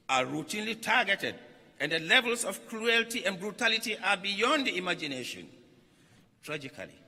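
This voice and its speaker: Forceful man speaking in an echoing hall. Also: sits high. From Ghana. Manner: emphatic, solemn oratory with deliberate phrasing and repeated lines. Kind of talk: formal religious address read aloud from a text. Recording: echoey hall with long reverb.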